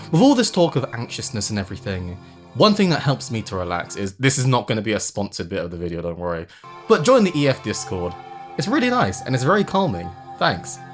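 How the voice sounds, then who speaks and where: Video essayist: lively intonation, emphatic conversational delivery with casual asides, music bed behind the voice. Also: mid-range voice. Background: music under the voice.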